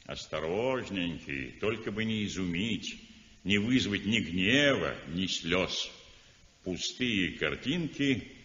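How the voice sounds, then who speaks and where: An actor playing an outspoken art critic in a 1960s radio drama, sardonic and declamatory. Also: male voice, deep voice, lively intonation, takes it slowly, fairly bright tone, heavily acted. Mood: scornful. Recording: dull old radio recording in a roomy hall.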